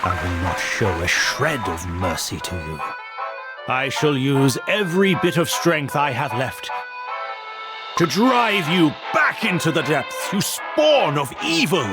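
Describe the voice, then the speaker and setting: Slow, solemn noble king. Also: on the high side, big pitch swings. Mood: stern, resolute. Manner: grave, formal, measured. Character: solemn, noble guardian cookie king, resolute and stern. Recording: loud music behind the voice.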